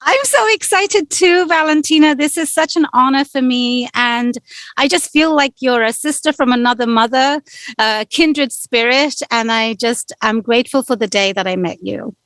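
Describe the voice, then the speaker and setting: Woman, 40s, London accent, animated guest storyteller, bright-leaning voice. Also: sits high, moderate pace, dry recording. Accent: London English. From London, England. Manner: warm, animated storytelling with laughs. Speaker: immigration lawyer, guest. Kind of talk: casual interview talk.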